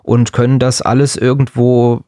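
A man's voice: mid-range voice, level tone, moderate pace, warm tone, no room sound, clean studio sound. A man co-hosting a podcast, chatting casually and answering questions in a rambling, joking way. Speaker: podcast and radio co-host.

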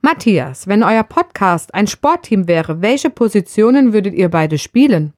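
A woman's voice: mid-range voice, sing-song, measured pace, a little mellow, dry recording. Woman reading questions, very dry sound. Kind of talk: scripted questions read aloud.

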